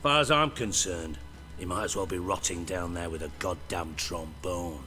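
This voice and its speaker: An actor playing a foul-mouthed, menacing underworld boss in a video game, sarcastic, wordy and theatrical. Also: male voice, deep voice, moderate pace, sharp tone, soft background music. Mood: sardonic.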